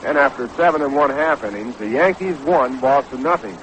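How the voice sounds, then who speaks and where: A male American baseball announcer gives measured, descriptive play-by-play at a middling pitch. It is an old 1960s radio broadcast from a ballpark, with faint noise and outdoor ambience around the voice. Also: fairly bright tone, poor audio. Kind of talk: live radio sports commentary.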